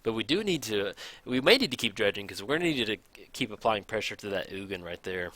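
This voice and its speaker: A man casually commenting on a card game match, thinking aloud, his voice a little raspy from hours of recording. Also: on the low side, big pitch swings, fast talker, no echo. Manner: chatty, thinks aloud, counts out loud. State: slightly raspy from long recording. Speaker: gameplay commentator.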